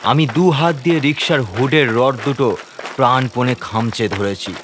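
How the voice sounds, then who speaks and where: A man delivers dramatic first-person horror narration. His middle-pitched voice is theatrical and full of suspense. A faint layer of atmospheric ambience runs underneath. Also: moderate pace, background noise. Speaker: narrator and lead character of a horror story.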